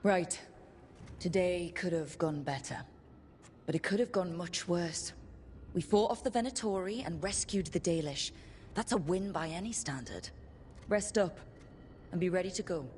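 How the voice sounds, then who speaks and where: A woman's voice: on the low side, normal speed, pauses often, a little mellow, slight background noise, natural voice. An actress playing a resolute, earnest young woman who leads a band of heroes in a fantasy game. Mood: determined. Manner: earnest, rallying, plain-spoken. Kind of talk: dramatic fantasy game voice acting.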